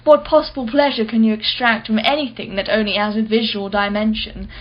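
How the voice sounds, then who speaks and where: Actress doing posh-minded rich lady in a radio play, arch n sardonic, highish voice, bit muffled recording. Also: steady tone, fairly quick, natural voice. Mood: arch, probing. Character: haughty, wealthy, privileged female art collector, witty and defensive.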